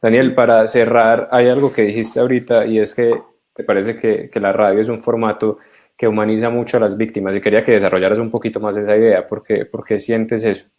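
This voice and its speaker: A man interviewing guests, asking brief, even questions. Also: on the low side, brisk.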